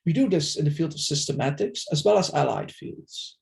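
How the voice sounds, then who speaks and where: Measured man giving a formal talk. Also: a little high, sing-song, gravelly. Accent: non-Filipino, foreign-accented English. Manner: measured, formal, deliberate delivery. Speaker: invited speaker, plant systematist. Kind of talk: prepared academic presentation.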